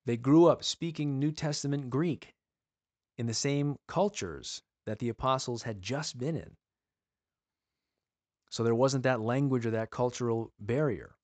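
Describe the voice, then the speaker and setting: A man giving an earnest sermon-style teaching, asking his listeners pleading rhetorical questions and slowing down to stress key words. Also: medium pitch, darkish tone, no room sound.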